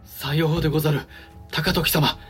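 An actor playing a cautious, deferential samurai-era regent in a period audio drama, speaking formally and respectfully. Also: male voice, fairly high pitch, flat delivery, moderate pace, fairly bright tone, soft background music, natural voice. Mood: grave, reporting. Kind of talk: period radio drama acting in archaic speech.

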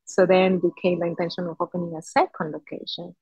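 A woman in her thirties from Peru, whose first language is Spanish, talks as an interview guest. Her voice is on the low side and a little husky, with a dry sound. She tells her own story conversationally. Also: flat delivery, quick pace, a little mellow, no echo, lo-fi sound. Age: 30s. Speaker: podcast guest, Pilates studio founder.

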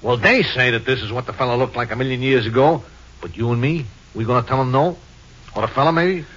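An actor playing a folksy, philosophical saloon keeper, wry and streetwise, in a 1950s radio drama. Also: male voice, mid-range voice, fast talker, fairly bright tone, natural voice. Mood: skeptical, matter-of-fact. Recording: muffled old radio recording.

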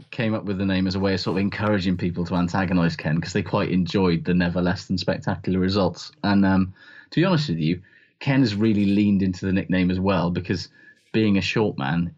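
A man's voice: low-pitched, flat delivery, a little fast, a little mellow, muffled recording. A man from Wales chatting casually and comically as a co-host, hedging as he goes and easily amused. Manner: hedging, conversational, readily amused. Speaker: podcast co-host.

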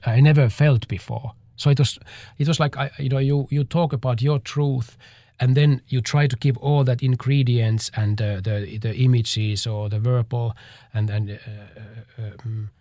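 Halting man, gravelly. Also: medium pitch, fairly even intonation, mellow, no room sound, clean studio sound. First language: Finnish. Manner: halting, searching for words. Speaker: choreographer. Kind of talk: interview answers.

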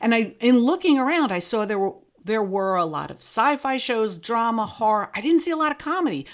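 Animated, self-mocking woman in casual conversation. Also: on the high side, fairly quick. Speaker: guest, comedy fiction podcast creator.

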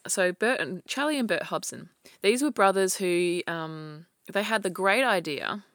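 A woman co-hosting a podcast, telling a true crime story in casual chat with a slightly croaky voice and frequent laughs. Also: lowish voice, a bit bright, dry recording, studio quality. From Australia. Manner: chatty storyteller, laughs often, restarts sentences.

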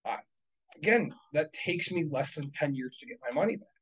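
A man in his thirties, a real estate investor, talking casually and bluntly, swearing and working through numbers out loud. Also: a little high, lively intonation, brisk, breathy edge. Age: 30s. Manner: profane, blunt, works through numbers aloud. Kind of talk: casual podcast chat.

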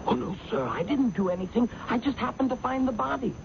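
An actor playing a timid, flustered office worker in a radio drama, hesitant, deferential and stammering. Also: male voice, extremely high pitch, animated, brisk, gravelly, heavily acted. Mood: flustered, anxious. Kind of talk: old-time radio drama acting. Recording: dull, noisy old radio broadcast.

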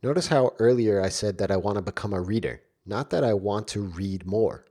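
A man's voice: lowish voice, fairly quick, warm tone, breathy edge. A man with a stuffy, congested voice from a cold, hosting a solo podcast and talking straight to his listeners in a friendly way. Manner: friendly, direct address to listeners. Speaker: podcast host.

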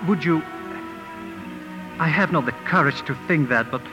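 An actor playing a shy, humble professor with a foreign accent, speaking formally and hesitantly in a 1950s radio drama. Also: male voice, fairly high pitch, normal speed, lo-fi sound, natural voice. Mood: tender, hesitant. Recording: old radio broadcast with music.

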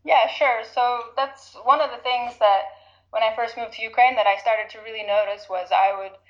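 A woman's voice: on the high side, steady tone, normal speed. Reflective woman from Chicago, slightly muffled. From Chicago, United States. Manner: reflective, thinks aloud with frequent fillers and restarts. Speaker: interview guest. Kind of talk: casual conversational interview. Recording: slightly dull lo-fi audio.

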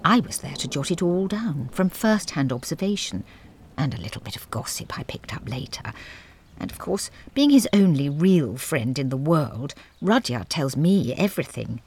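A small female mouse with literary ambitions, narrating an audio comedy drama in a husky voice. Her delivery is wry, theatrical and confiding, with lively swings in pitch. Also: normal speed, heavily acted. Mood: conspiratorial whisper.

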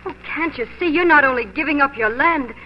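A woman's voice: high voice, fairly quick, close to an everyday voice. A young woman acting a determined, proud pioneer wife in 1940s radio drama style. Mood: impassioned. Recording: muffled old radio broadcast, faint noise.

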